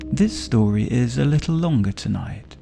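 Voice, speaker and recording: A man narrating a sleep story for adults as a storyteller, with a soothing, gentle, unhurried delivery. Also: medium pitch, expressive, vocal fry, soft background music. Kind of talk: slow bedtime story narration.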